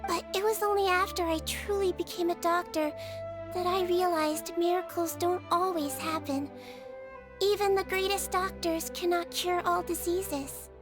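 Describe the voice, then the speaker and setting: A woman voicing a gentle little female nurse creature in a game, speaking softly and reassuringly. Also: very high-pitched, fairly even intonation, measured pace. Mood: earnest. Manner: soft, patient, reassuring bedside way of talking. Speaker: game character. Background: game music.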